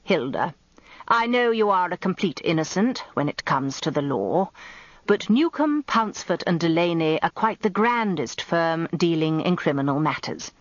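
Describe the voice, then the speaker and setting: An actor playing a pompous, grumbling old barrister in a radio drama, British, with a grandiloquent and plaintive way of talking. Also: male voice, fairly high pitch, very animated, moderate pace, no echo, lo-fi sound. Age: older. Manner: grandiloquent, plaintive, self-important.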